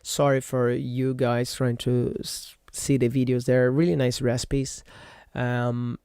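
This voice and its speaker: A man's voice: mid-range voice, flat delivery, slow pace, mellow, clean tone, dry recording, clean studio sound. A man whose first language is Portuguese hosts a solo podcast in a rambling, casual way, full of fillers and self-corrections. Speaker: podcast host. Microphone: computer microphone.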